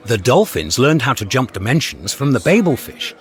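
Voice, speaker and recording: Worldly galactic hitchhiker, played by a man, mid-pitched, animated, casual know-it-all air, radio comedy. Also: moderate pace, lightly acted. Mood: breezy. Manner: casual, knowing. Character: breezy, worldly galactic traveller explaining things to friends. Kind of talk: radio science fiction comedy acting.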